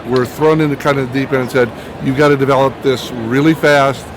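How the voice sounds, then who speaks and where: A middle-aged man chats casually as an interview guest, telling anecdotes in a medium-pitched voice. He restarts phrases as he goes. The recording is noisy, as at a busy convention. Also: steady tone, fairly quick. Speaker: interview guest, TV writer and producer. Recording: noisy outdoor-sounding convention recording with traffic-like din.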